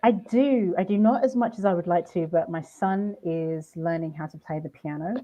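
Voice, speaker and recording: A woman's voice: lowish voice, moderate pace, mellow. A middle-aged woman from the UK with a British accent, chatting animatedly as a podcast guest with frequent restarts. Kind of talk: casual podcast chat. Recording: dull lo-fi sound.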